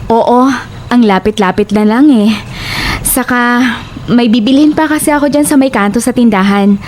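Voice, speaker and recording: A woman playing a chatty, blunt young best friend in an audio drama, teasing and sharp-tongued. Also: a little high, moderate pace, slight background noise, lightly acted. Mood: breezy, caring.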